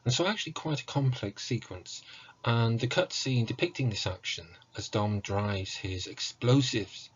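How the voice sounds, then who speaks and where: A man giving an academic presentation as a lecturer, measured and scholarly in his delivery. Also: medium pitch, lively intonation, slightly rough, dull audio. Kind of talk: academic presentation, scripted narration.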